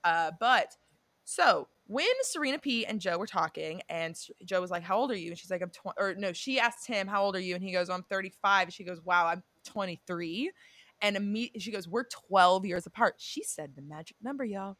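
Chatty young female co-host with a bright tone and a dry close recording; swears and rambles. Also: mid-range voice, moderate pace, no echo. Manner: chatty, swears freely, drifts off and self-corrects. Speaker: podcast co-host. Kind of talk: casual chat about a reality show and astrology.

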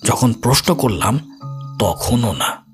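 An actor playing a calm, rational older investigator, narrating an audio story in a measured, explanatory way. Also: male voice, mid-range voice. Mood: measured, attentive. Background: music.

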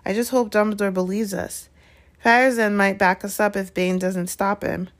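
A woman's voice: mid-range voice, fairly even intonation, normal speed, natural voice. A woman voicing an earnest, headstrong young schoolboy who speaks with urgent insistence, in a storybook reading.

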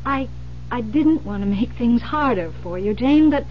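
A woman playing a composed, determined confidante in an old-time radio thriller, earnest, deliberate and reassuring. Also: on the high side, lively intonation, lightly acted. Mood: earnest, insistent. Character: Iris, composed, determined woman coaching a frightened friend. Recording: muffled old radio recording with noise, hum.

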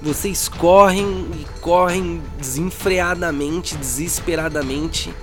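A man's voice: a little high, steady tone. Brazilian man narrating dramatically over music. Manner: dramatic, deliberate storytelling with pauses. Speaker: game master. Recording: music bed behind the voice. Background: background music.

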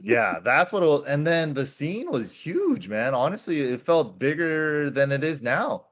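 A man's voice: a little high, sing-song, unhurried, a bit bright. Laid-back man saying "dude" a lot. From Hawaii. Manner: laid-back, keeps calling people "dude". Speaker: podcast guest. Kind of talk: casual chat.

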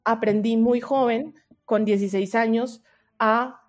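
A woman with a Colombian accent, speaking as a podcast guest and researcher, giving advice in an earnest, expressive and casual conversational way. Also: on the high side, a little slow.

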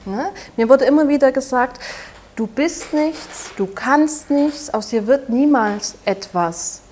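A woman in her twenties from Saxony with a Saxon German accent, speaking haltingly and softly as an interview guest, often restarting her sentences. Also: high-pitched, lively intonation. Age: 20s. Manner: halting, soft-spoken, with frequent restarts. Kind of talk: personal testimony in an interview.

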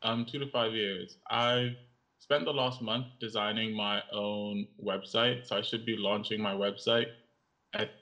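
A young man from Cameroon, a guest in an interview, speaking in a measured, reflective way with some pauses. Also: fairly low pitch, steady tone, sharp tone. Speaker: guest, audiovisual artist and writer. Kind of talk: interview conversation. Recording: lo-fi, slightly dull sound.

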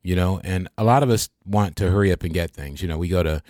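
Older American man, very deep voice. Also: quick pace, darkish tone, no echo, studio quality. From American Midwest. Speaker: podcast host. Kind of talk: reflective religious talk to a small group.

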